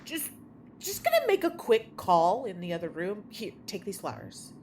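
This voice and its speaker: A woman's voice: mid-range voice, wildly swooping pitch, normal speed, heavy background noise. An actress playing a troubled, secretive young woman, hesitant and emotional, in a naturalistic audio drama. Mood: uneasy. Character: anguished, secretive young woman confronting a friend.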